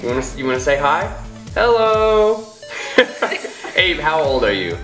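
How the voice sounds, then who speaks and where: A man's voice: fairly high pitch, sing-song, a little slow, fairly bright tone. A man from Iowa with an American accent, chatting casually as a vlog host in a playful, teasing manner. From Iowa, United States. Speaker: vlogger and host. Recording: roomy echo, music behind.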